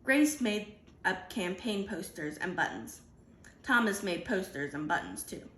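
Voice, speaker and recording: A woman reading a children's picture book aloud in an animated, deliberate storytelling manner. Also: mid-range voice, roomy sound, slight background noise. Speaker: storybook reader.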